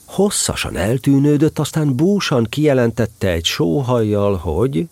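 Husky man narrating an animated storybook. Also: mid-range voice, no room sound.

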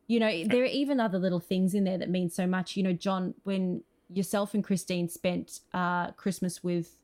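A woman's voice: on the low side, steady tone, moderate pace, a little mellow. An Australian woman speaking as an interview guest, earnest and effusive in a conversational way. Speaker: podcast guest, screenwriter.

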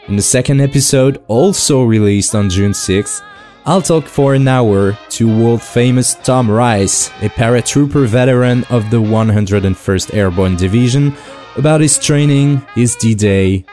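A man's voice: mid-range voice, mellow. A French man in his thirties with a French accent, hosting a podcast in a scripted, earnest and enthusiastic manner. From France. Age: 30s. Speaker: podcast host.

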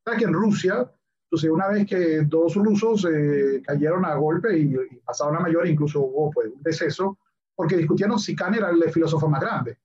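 Hombre venezolano de lengua materna inglesa, voz aguda y bromista, sonido apagado de baja calidad, invitado de pódcast. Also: male voice, a little high, normal speed, darkish tone. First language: English. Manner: playful, joking storyteller. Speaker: guest, lawyer and philosopher. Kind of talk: casual podcast conversation. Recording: dull lo-fi sound.

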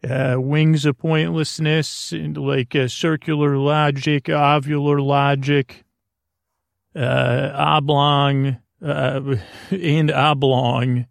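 A man hosting a bedtime podcast with a creaky voice, rambling in a hesitant, mumbling, self-deprecating way with frequent tangents. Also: fairly high pitch, level tone, slow, no room sound. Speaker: host of a bedtime sleep podcast. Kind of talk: rambling, meandering bedtime monologue.

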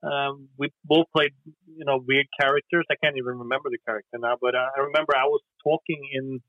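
A Norwegian musician talking casually in an interview, hesitant, with lots of fillers and restarts. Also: male voice, mid-range voice, fairly even intonation, fast, no echo. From Norway. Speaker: musician guest. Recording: dry tinny phone line.

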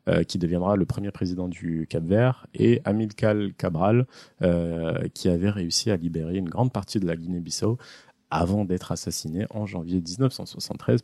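Low-voiced man telling history. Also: creaky, dark tone, no echo, studio quality. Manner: joking, trails off with many hesitations. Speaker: podcast host presenting a history segment. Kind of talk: casual podcast storytelling.

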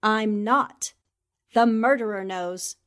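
A woman's voice: medium pitch, takes it slowly, a bit bright. A woman playing a shrewd, confident newspaper reporter with poised, theatrical delivery in a 1940s-style radio drama. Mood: brisk, wry. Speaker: voice actor.

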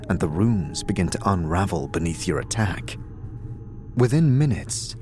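A man reading scripted second-person narration for a fantasy audio drama. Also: sits low.

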